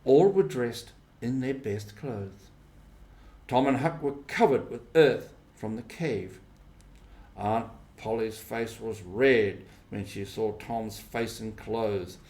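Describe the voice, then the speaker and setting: A man narrates a storybook at a slow, measured pace. His mid-pitched voice places each short phrase deliberately, as an audiobook reader does. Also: a little mellow. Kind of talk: scripted storybook narration, simplified classic novel.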